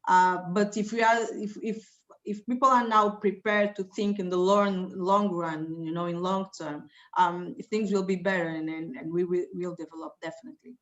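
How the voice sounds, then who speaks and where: A woman's voice: lowish voice, steady tone, normal speed, a bit bright, lo-fi sound. A woman speaking on an online conference panel in an informal way, repeating words and using many fillers.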